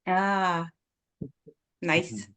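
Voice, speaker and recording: A woman's voice: fairly low pitch, lively intonation, extremely slow, a bit bright. A woman in a casual group chat who talks hesitantly and laughs easily.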